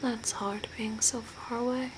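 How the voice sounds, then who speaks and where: Clear room noise sits behind a young woman's soft whisper. She is acting as an eerie, soothing zombie makeover artist in an ASMR role play. Every phrase is slow, breathy and deliberate, delivered close to the camera in a small room. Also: fairly high pitch, steady tone, close to an everyday voice. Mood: hushed, eerie. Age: young adult. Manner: slow, hushed, deliberate, spooky. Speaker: ASMR creator playing a makeup artist. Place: small room at home by a window. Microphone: close camera at arm's length. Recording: noisy home recording with ambience.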